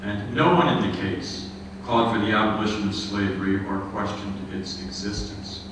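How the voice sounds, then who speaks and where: Man, low-pitched, history lecturer, measured and earnest, distant mic, echoey lecture hall, background noise. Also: steady tone. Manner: measured, earnest, frequent uh fillers. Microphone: room microphone.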